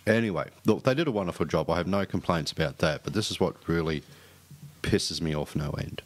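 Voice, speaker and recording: A man's voice: deep voice, brisk. A middle-aged man who stutters, telling a story in casual chat as a radio show co-host. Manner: stutters, with repeated restarts.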